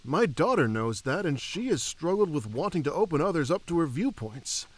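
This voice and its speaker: A humble, fatherly elf king, played by a man, speaks in a voice slightly on the high side for a man. He is reflective, candid and gently formal, in the manner of fantasy audio drama. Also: very animated, normal speed.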